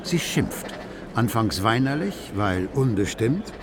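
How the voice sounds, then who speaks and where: A man's voice: mid-range voice, sing-song, takes it slowly, noisy background. A man giving scripted literary narration as the storyteller of a radio play. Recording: music behind the voice. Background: music.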